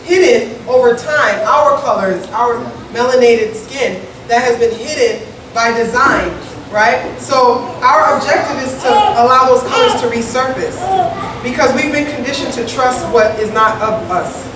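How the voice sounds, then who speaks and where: A woman's voice: on the high side, measured pace. A woman moderating a community panel discussion in front of an audience, talking in a conversational way and often checking in with "right?". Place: large echoey event hall with an audience. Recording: echoey hall, distant mic, audible hiss.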